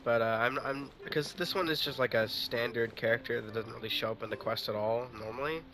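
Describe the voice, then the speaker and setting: A man's voice: medium pitch, a little fast, pushed and bright. A man giving casual, chatty gameplay commentary as a streamer. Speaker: game streamer. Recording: faint game noise behind.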